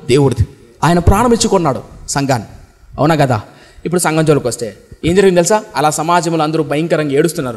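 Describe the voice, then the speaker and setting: Fiery preacher man in a reverberant hall. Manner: impassioned, rhetorical questions, swings between shouting and low tones. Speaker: pastor. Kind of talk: fiery sermon.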